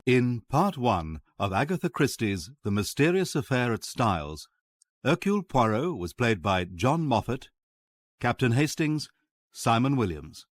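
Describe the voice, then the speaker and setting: A man's voice: mid-range voice, very animated, measured pace, no room sound. A man reading formally as a radio drama announcer. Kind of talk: formal broadcast credits reading.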